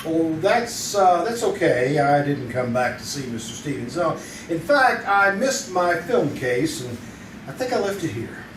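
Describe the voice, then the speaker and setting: An actor playing a skeptical, wisecracking newspaper photographer in an old-time radio drama. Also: male voice, fairly high pitch, very animated, a little slow. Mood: scheming, polite. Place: community theater stage. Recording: roomy, noisy, distant live stage recording.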